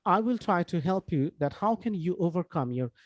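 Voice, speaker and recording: A Bangladeshi-accented man, a native Bengali speaker, gives an informal online lecture as an instructor. His voice sits a little high for a man. He explains in a halting, repetitive way full of small asides, and the sound is dry, without room echo. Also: lively intonation, dull audio. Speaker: English course instructor.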